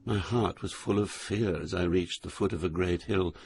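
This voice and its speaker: An actor playing a reflective pilgrim poet, narrating his journey in measured, solemn phrases for an audio drama. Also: low voice, darkish tone. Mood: reflective, fearful. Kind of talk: dramatized scripted first-person narration. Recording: clear background noise, ambience.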